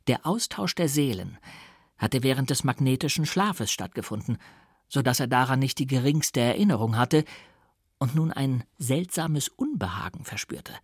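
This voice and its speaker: A man reading a literary audiobook as narrator, with expressive, theatrical and deliberate phrasing. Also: mid-range voice, slightly rough, no room sound, studio quality. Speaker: audiobook reader.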